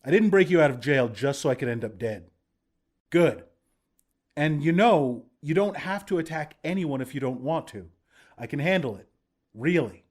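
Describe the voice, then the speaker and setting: A man's voice: on the high side, lively intonation, normal speed, darkish tone. A man playing a battle-hardened resistance commander in a video game, plain-spoken, protective and reassuring. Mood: friendly, advising. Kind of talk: video game character voice lines.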